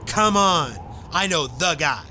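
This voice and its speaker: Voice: mid-range voice, animated, slow pace, background noise. An actor playing a bossy, exasperated male gangster in a radio comedy, impatient, scolding and wisecracking. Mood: brisk, bossy.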